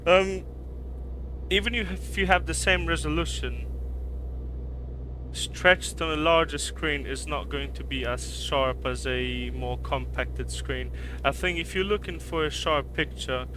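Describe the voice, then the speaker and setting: A man's voice: on the high side, bright, forceful tone, slight background noise, low-quality recording. A man born in Poland and raised in Canada, casually chatting with live-stream viewers, greeting them by name and thinking aloud with fillers. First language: Polish. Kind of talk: live-stream chat reading viewer comments.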